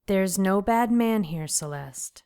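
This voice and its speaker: A woman playing an orphanage caretaker in an audio drama, motherly and hushing but anxious and urgent. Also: mid-range voice, expressive, takes it slowly, smooth. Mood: soothing.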